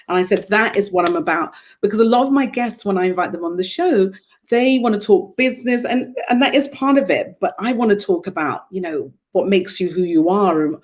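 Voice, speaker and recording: Effusive woman host on a video call. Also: mid-range voice. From United States. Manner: effusive, expressive, gushing. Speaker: interview host. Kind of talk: casual interview chat. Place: home room, on a video call.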